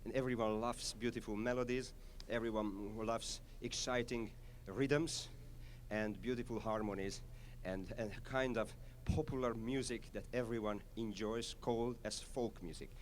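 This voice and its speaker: A man from Hungary with a Hungarian accent, a conductor introducing a piece to a concert audience, halting but enthusiastic with frequent fillers. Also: on the low side, fairly even intonation, slight background noise. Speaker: orchestra conductor.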